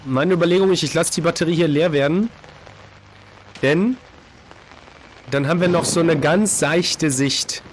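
A hoarse man in his thirties with a cold, casually commenting on a horror game at a desk microphone, reacting jumpily to scares. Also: fairly high pitch, moderate pace, long pauses, slight background noise. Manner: chatty, jumpy reactions to scares. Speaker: let's play host. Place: home streaming room, indoors. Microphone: desk microphone, headset on.

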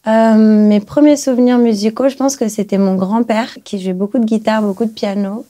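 A young woman from Brazil, a native Portuguese speaker, chatting as a guest singer in a small music studio, relaxed, with many pauses. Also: fairly high pitch. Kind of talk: casual interview chat. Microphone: studio mic on stand.

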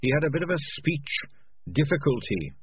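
A man voicing a small, earnest young male rat in a storybook, soft-spoken and sincere, recorded with a phone-like narrow sound. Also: on the high side, sing-song, brisk, breathy edge, pitched above the performer's own voice, heavily acted. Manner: soft, sincere, slightly raised.

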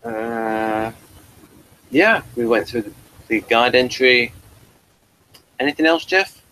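Give this to a man with a medium-pitched voice, hosting an informal review. He rambles a little and hesitates as he works through his thoughts in a chatty style. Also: takes it slowly, sharp tone, low-quality recording. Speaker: review show host. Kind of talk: casual review chat.

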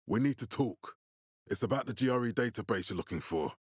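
A husky, commanding military leader. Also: male voice, mid-range voice, animated, no echo, clean studio sound. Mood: stern, controlled. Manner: authoritative, curt, measured. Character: commanding, menacing middle-aged male military leader. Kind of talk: dramatic video game voice acting.

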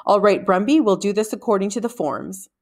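A woman's voice: mid-range voice, animated, a little fast, close to an everyday voice. A nervous, conciliatory male wizard from a storybook, read by a woman. He is hesitant and fussily formal.

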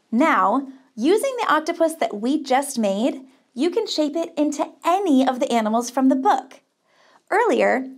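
A middle-aged woman hosting a children's craft tutorial as a camp counselor, encouraging and upbeat as she talks to kids. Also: high-pitched. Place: small studio set, indoors.

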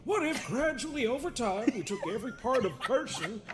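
A man's voice: extremely high pitch, animated, a little fast, noisy background. A man voicing an unhinged, sardonic therapist with folksy menace, theatrical and mocking, in an improvised comedy dub of a horror game. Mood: mock philosophical.

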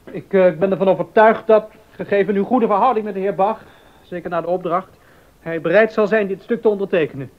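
A high-pitched actor's voice as an indignant male musician in a period docudrama, formally insisting on his rights. The recording is dull and lo-fi. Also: brisk, close to an everyday voice. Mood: formal, insistent. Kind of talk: Dutch docudrama acting.

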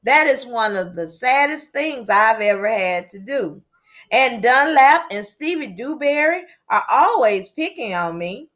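A woman's voice: on the high side, expressive, a little slow, a bit bright. A woman reading the part of an earnest, lonely, curious young girl in a storybook, in a plain-spoken way. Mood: sad, confiding. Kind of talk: storybook character read aloud.

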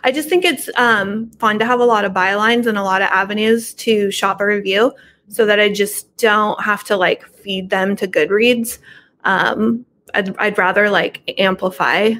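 A middle-aged woman talks in a casual interview style. Her delivery is informal and conversational at a medium pitch. She is at home on a video call. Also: unhurried, fairly bright tone. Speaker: podcast guest, book reviewer and horror entrepreneur.

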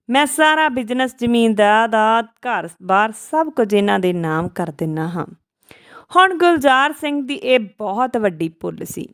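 Higher-voiced woman narrating a story.

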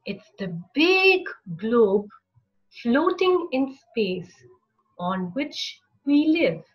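A woman narrating a picture book for young children, with deliberate, expressive phrasing and short pauses. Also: fairly high pitch, highs cut off. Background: music.

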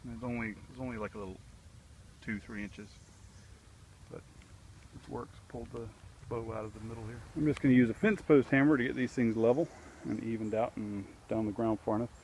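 A man's voice: medium pitch, fairly even intonation, brisk, darkish tone, a little hiss or hum, low-quality recording. A man working outdoors in a wooded backyard, talking through his fence job into a handheld camera in a plain, practical way, with fillers as he thinks aloud. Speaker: DIY vlogger. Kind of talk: casual how-to narration. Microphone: handheld camera mic.

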